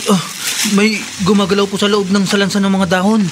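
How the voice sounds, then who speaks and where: A man's voice: sits high, level tone, fairly quick, natural voice. An actor playing a polite, helpful, uneasy young man in a Filipino horror radio drama, hesitant, with a Filipino accent. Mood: nervous suspicion. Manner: respectful, hesitant. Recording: clearly noisy ambience behind.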